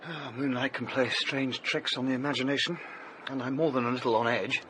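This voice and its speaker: An actor playing an anxious young English gentleman in a radio drama, earnest, well-spoken and a little hesitant. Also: mid-range voice, steady tone, brisk, slight background noise, natural voice, rough recording. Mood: uneasy, friendly. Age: young adult.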